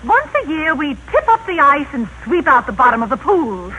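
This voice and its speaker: A woman's voice: sits high, big pitch swings, moderate pace, pushed and bright, highs cut off, full character voice. A woman acting a prim, haughty, stubbornly loyal Californian miss in a 1930s radio comedy. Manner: haughty, airy denials. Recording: noisy old 1930s radio recording.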